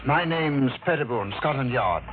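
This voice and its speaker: An actor playing a formal, grave police detective in an old-time radio mystery, speaking in a measured, official way. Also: male voice, medium pitch, animated, close to an everyday voice. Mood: grave authority. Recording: tinny vintage radio recording.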